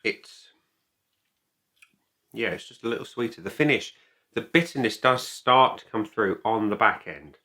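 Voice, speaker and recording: A man giving a casual product review to camera as a video host, speaking in a halting, deliberate way and repeating phrases. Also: on the low side, bright, forceful tone.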